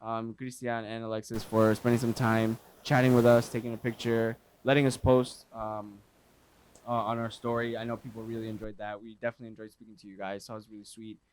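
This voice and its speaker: A man co-hosting a casual soccer podcast, talking in an upbeat way and giving shout-outs. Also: mid-range voice, flat delivery, brisk, a little hiss or hum. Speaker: podcast co-host. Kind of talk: casual chat.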